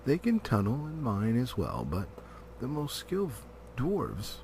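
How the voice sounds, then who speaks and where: Slow-paced man reading a story dramatically. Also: medium pitch, wildly swooping pitch, darkish tone, a little hiss or hum. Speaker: amateur audiobook narrator.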